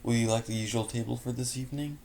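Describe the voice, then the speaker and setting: A man's voice: medium pitch, steady tone, fast, gravelly, darkish tone, a little hiss or hum, lightly acted. A man reading a fanfic aloud, voicing a formal, courteous male pony restaurant host.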